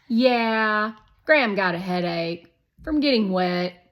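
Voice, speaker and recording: A woman voicing a loud, pushy, energetic young boy in a children's storybook, blurting things out eagerly. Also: medium pitch, slow pace, pitched above the performer's own voice. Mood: excited. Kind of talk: children's storybook read-aloud.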